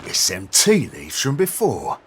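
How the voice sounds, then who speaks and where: Folksy, wildly lilting sidekick. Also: male voice, fairly low pitch, huge pitch swings, a little slow, very noisy. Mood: wary. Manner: folksy, colloquial. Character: loyal, folksy male sidekick. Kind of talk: video game cutscene acting. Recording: loud game music behind.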